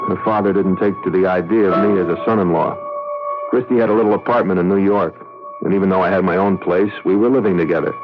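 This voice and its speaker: An actor with an American accent playing a self-serving young agency gopher in a radio drama, slangy and hard-boiled, with a husky voice. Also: male voice, sits low, steady tone, quick pace, over loud music, lightly acted. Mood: confiding. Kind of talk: 1970s radio drama acting. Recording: dull vintage radio recording.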